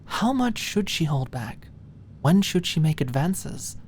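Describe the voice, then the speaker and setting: A woman's voice: low voice, big pitch swings, takes it slowly, a little mellow, a little hiss or hum. A woman reading scripted story narration for an ASMR-style audiobook.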